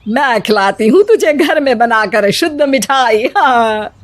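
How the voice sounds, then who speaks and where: Woman playing doting, high-voiced Indian mother-in-law. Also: expressive, measured pace, no echo. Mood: affectionate. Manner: exclamatory, indulgent, instructive. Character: affectionate, proud Indian mother-in-law, middle-aged, doting and opinionated. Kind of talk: Hindi animated story acting.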